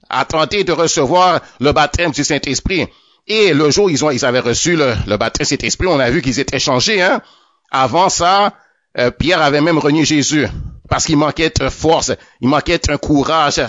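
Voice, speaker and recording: A man with a fairly high voice gives a religious testimony in a plain, unscripted way, often stumbling and saying words twice before he goes on. The recording is dry and close, with a dull, lo-fi sound. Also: fairly bright tone, dry recording. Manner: repeats words often, halting restarts.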